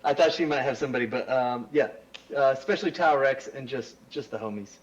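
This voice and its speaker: A man chatting as a guest, enthusiastic and relaxed, with slangy speech. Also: medium pitch, quick pace, highs cut off, slight background noise. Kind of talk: casual chat.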